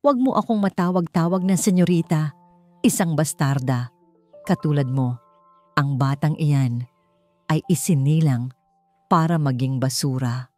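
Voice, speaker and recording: A woman's voice: low voice, measured pace, long pauses, a little mellow, dry recording. Lady doing a mean rich bride for a drama story, smug and boastful, sneery, faint music behind. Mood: scornful, threatening. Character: smug, cruel, spoiled rich bride, gloating.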